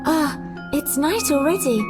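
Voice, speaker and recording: A woman playing a gentle, kind-hearted young fairy-tale heroine in an animated storybook, earnest and expressive, with music behind her. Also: high voice, unhurried. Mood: worried. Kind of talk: children's animated storybook acting.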